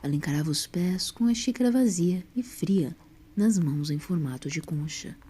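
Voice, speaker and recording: A woman narrating a scripted story as an expressive storyteller. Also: lowish voice, moderate pace, slightly rough.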